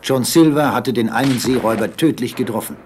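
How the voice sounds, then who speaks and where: Male storyteller with a medium-pitched voice. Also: a little fast, a little mellow, a little hiss or hum. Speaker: narrator. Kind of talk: scripted dramatic storytelling in German audio play.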